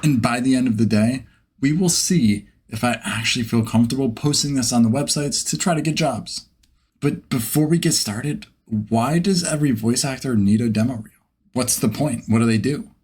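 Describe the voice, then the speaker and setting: A man's voice: mid-range voice, normal speed, darkish tone, slightly rough. A man hosting a vlog in a casual, conversational style. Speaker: video host, beginner voice actor.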